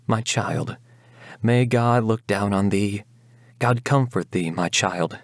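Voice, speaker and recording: A man's voice: fairly low pitch, slow pace, dry recording, clean studio sound. A man voicing a pious older mother, tender and admonishing, imploring in a prayerful way in a dramatic ballad reading. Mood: soothing.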